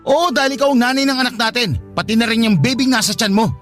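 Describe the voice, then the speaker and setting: An actor playing an angry, jealous, belittling live-in partner in a radio drama, scornful and accusing. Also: male voice, very high-pitched, quick pace, fairly bright tone, faint music behind.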